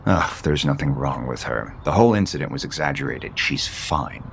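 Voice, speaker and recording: Very deep-voiced, conflicted grown son. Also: male voice, expressive, moderate pace, gravelly, slight background noise, full character voice. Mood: dismissive. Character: conflicted, guarded adult son, unhappily married father. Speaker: actor in an audio soap opera. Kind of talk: naturalistic soap opera acting.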